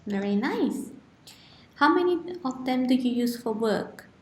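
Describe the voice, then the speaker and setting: A woman's voice: on the high side, animated, measured pace, echoey room. A woman interviewing a guest, asking questions politely with some hesitant fillers.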